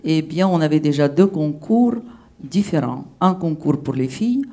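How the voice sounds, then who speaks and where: Woman panelist, gravelly fry in the voice, emphatic and persuasive delivery. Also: low voice, animated, normal speed, mellow. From Russia. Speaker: panel speaker. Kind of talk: panel discussion answer.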